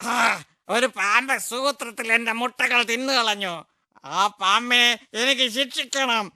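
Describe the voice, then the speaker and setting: An aggrieved mother crow from an animated moral tale, played by a woman. The voice is piercingly bright, emotional and protesting, recorded close and dry. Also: a little high, slow pace, no room sound, full character voice. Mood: distressed. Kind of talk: animated children's moral story.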